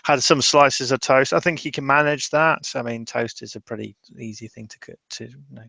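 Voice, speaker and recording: A man playing in a tabletop roleplaying game, improvising casually in a halting way and repeating words. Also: medium pitch, gravelly, pushed and bright, no echo.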